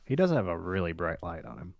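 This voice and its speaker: Male podcast co-host, lowish gravelly fry, dry humor, relaxed conversational banter. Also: quick pace, dry recording, highs cut off. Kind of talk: casual banter with friends.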